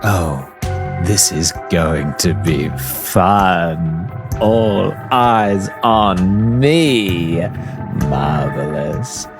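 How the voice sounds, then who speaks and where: Man acting a sly, drawling fey trickster, low voice. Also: animated, extremely slow, music in the background, heavily acted. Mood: gleeful, teasing. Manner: drawling, mock-bored, showy. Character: sly, flippant male shadow-fey trickster, sulky and teasing.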